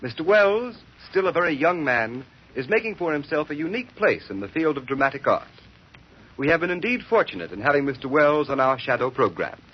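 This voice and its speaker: A man's voice: on the high side, very animated, brisk, slightly rough. An American man announcing formally in the style of 1930s radio. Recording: dull, muffled old radio recording.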